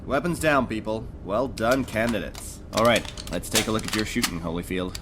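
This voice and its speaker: An actor playing a gruff, sarcastic male firearms instructor in an audio drama, barking orders and mocking recruits. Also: on the low side, moderate pace, very noisy, lightly acted. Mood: commanding. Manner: barking, mocking, drill-sergeant style.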